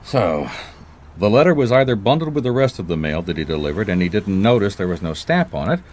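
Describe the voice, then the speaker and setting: A man's voice: sits low, big pitch swings, brisk, a little mellow. A man playing a laconic, dogged private detective in a noir radio mystery, casual, wry and probing. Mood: probing, dry.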